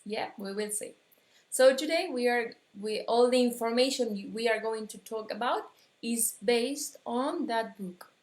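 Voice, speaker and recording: A woman from Argentina with an Argentine Spanish accent, teaching a live metaphysics lesson in English, pausing and restarting as she searches for words. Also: a little high, echoey room. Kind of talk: live-streamed spiritual lesson.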